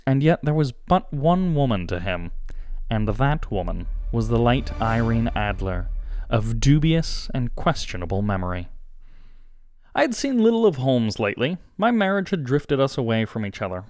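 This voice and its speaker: Expressive male audiobook narrator, animated pitch. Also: moderate pace, no echo. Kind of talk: scripted literary narration.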